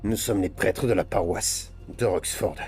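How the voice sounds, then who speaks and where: A man acts out a cheeky parish priest in a comic game roleplay. The voice is on the low side, ceremonious and courteous, then suddenly vulgar, with faint music behind it. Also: a little slow, a little mellow. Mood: polite, mock-solemn. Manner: formal polite phrasing broken by vulgarity. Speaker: gaming video creator playing a priest. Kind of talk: improvised online roleplay comedy.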